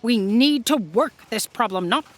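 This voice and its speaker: A woman playing a bossy, commanding female editor in a sci-fi comedy audio drama, with formal, crisp authority. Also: a little high, lively intonation, measured pace. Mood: stern.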